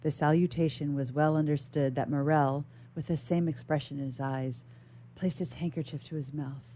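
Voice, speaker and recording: A woman reads a classic novel aloud in a low voice with a soft, mellow tone. She sounds like a guest reader on a radio show, narrating formal literary prose. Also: steady tone, moderate pace, slight background noise.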